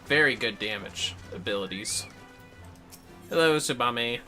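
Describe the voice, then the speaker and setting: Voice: mid-range voice, animated, measured pace, music in the background. A gamer giving casual livestream commentary in a playful, reactive, sweary way. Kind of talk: casual game-stream commentary.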